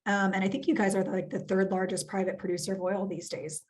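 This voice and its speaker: Woman, losing her voice, flat intonation, financial planner, video call, measured with fillers. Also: mid-range voice. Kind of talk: webinar presentation.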